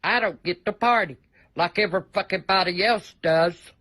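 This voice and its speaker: Older woman, forceful bright voice, for a blunt, repetitive, foul-mouthed complaint delivered casually. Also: lowish voice, moderate pace. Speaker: person in a viral clip. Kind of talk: casual profane rant.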